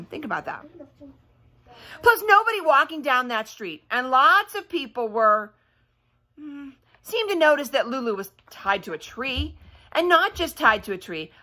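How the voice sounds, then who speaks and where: A woman's voice: sits high, bright, forceful tone. A woman reading a children's story aloud with expression, breaking off for chatty asides and questions to her young listeners. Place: indoors at home by a fireplace.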